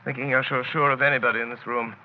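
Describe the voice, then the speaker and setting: An actor playing an unflappable male detective in 1930s radio style, calm, measured and ironically polite. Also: mid-range voice, close to an everyday voice. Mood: cool, mocking. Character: cool, unflappable, courteously taunting male detective. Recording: muffled old 1930s radio recording.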